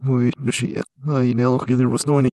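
A man's voice: mid-range voice, flat delivery, moderate pace, mellow, no echo, poor audio. A young man co-hosting a casual podcast, rambling and correcting himself as he talks. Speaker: podcast co-host. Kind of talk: casual chat.

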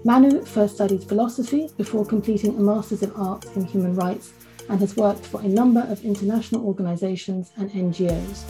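Lady podcast host, warm mellow voice, faint music behind. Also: medium pitch, brisk. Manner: reads a scripted guest introduction. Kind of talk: podcast interview hosting.

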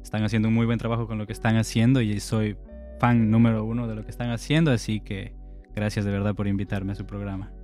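A man in his twenties from Ecuador, a podcast guest calmly telling his story in casual chat. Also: lowish voice, quick pace, faint music behind. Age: 20s. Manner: calm, reflective storytelling.

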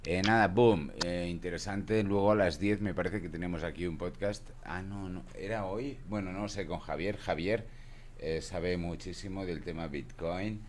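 A man with an extremely deep voice, talking in an exuberant, casual way in a voice message. A faint hiss of background noise sits under his voice. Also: moderate pace. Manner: exuberant, bursts of "boom". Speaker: sent-in voice note.

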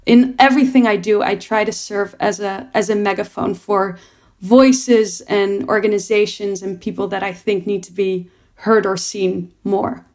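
A young woman speaks about her work in an earnest, reflective way. Her voice sits in a middle pitch, and she often repeats a word as she restarts a thought. She sounds like a guest answering interview questions. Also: steady tone, measured pace, a little mellow. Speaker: producer and entrepreneur.

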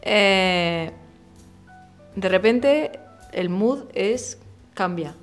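A woman in her thirties from Murcia with a softened Murcian accent, a guest talking candidly and casually in an interview, full of slang and fillers. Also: medium pitch, animated, drawn-out words, faint music behind. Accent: softened Murcian Spanish. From Murcia, Spain. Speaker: podcast guest, illustrator.

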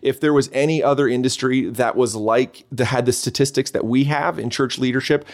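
A middle-aged Canadian man talking quickly and brightly in a relaxed interview. His pitch sits in an ordinary middle range while he rattles through stories with lots of fillers and restarts. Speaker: podcast guest, church consultant. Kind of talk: casual interview chat.

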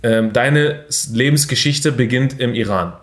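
Young man, podcast host, energetic, filler-heavy, mid-pitched voice. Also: unhurried. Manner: energetic, filler-heavy, sprinkled with English buzzwords. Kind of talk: casual motivational talk.

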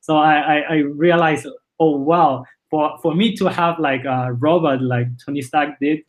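Halting Chinese-born man with Spanish accent. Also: a little high, steady tone, poor audio. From China. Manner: halting, with frequent repeated words and "uh" fillers. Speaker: startup founder and CEO, guest. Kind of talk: casual interview conversation.

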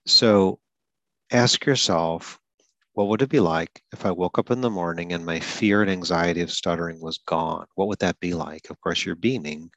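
A man with a very low voice talks through a goal-setting exercise in an earnest, coaching way. Now and then he repeats a word or restarts. The sound is dry and close, with no room around it. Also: normal speed, a bit bright, dry recording. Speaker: podcast host and stuttering advocate. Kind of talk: solo podcast explanation.

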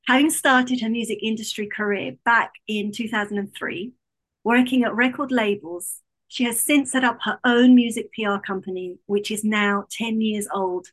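A woman's voice: fairly high pitch, fairly even intonation, moderate pace, rough recording. A woman hosting a podcast interview, effusive and gushing as she introduces and responds to her guest. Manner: warm and effusive, with gushing praise. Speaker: podcast host.

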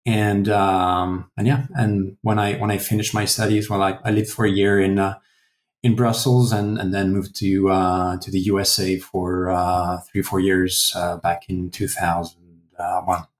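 A man's voice: sits low, little pitch movement, measured pace, roomy sound. A man in his forties from France, answering interview questions casually with lots of fillers and restarts. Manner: hesitant, many fillers and restarts. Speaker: guest, translation company founder.

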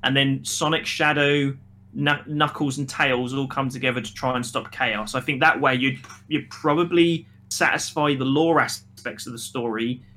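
A man's voice: medium pitch, steady tone, normal speed, sharp tone. A man co-hosting a review podcast, chatting casually and making his points emphatically and argumentatively. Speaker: podcast co-host reviewing a film. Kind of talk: casual opinionated discussion.

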